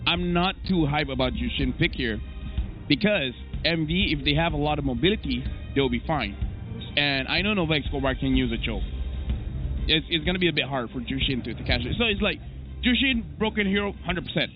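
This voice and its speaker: Male esports caster, playful, high and lively voice, slightly dull stream audio with music under it. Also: fairly quick. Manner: playful, joking with chat, animated. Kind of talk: live esports commentary and banter.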